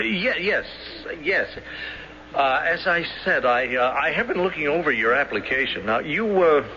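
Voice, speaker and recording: A man acting in a 1970s radio drama as a timid, soft-hearted banker, hesitant, stammering, polite and formal. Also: medium pitch. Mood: distracted, hesitant. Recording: dull, noisy 1970s radio broadcast.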